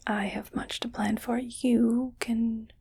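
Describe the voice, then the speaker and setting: A woman playing a scheming, patronizing rich alien in an ASMR roleplay, speaking in a breathy, coaxing whisper. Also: a little high, level tone, slight background noise. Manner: slow, patronizing, softly coaxing. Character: condescending, scheming rich female alien keeping a human pet.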